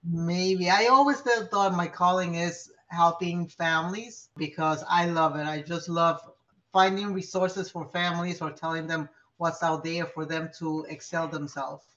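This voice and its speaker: A woman's voice: fairly low pitch, fairly even intonation, measured pace, a bit bright. Chatty middle-aged woman, lo-fi audio. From born in New York, raised in Puerto Rico. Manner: chatty, laughs often, restarts sentences. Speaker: podcast guest, community organizer. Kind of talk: casual interview chat. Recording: slightly dull lo-fi audio.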